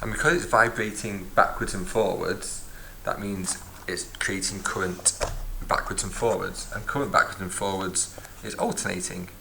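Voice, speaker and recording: A man's voice: low voice, creaky, sharp tone, slight background noise, low-quality recording. A man teaching a physics lesson, explaining step by step and recapping as he writes in a notebook. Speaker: science teacher. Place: at a desk, filming a notebook from above.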